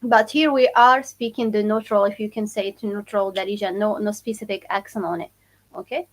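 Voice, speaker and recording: A young woman from Morocco with a Moroccan accent, teaching her dialect on a live stream and slowly repeating phrases for learners. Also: mid-range voice, steady tone. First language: Moroccan Arabic. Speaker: language teacher. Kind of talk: live-stream language lesson.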